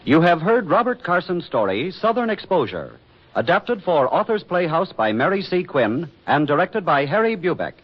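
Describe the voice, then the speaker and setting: A man's voice: a little high, very animated, moderate pace, lo-fi sound, a little hiss or hum. A man announcing scripted credits on a 1940s radio broadcast with formal, dramatic enunciation.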